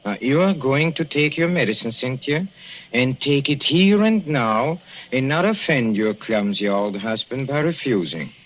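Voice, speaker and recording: A man's voice: medium pitch, lively intonation, unhurried, lightly acted. A man playing a sly, cooing murderous husband. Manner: soft and wheedling, chuckling, quietly menacing. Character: sly, cooing older husband poisoning his wife. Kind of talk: 1940s radio drama acting. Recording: dull lo-fi 1940s radio broadcast with faint hiss.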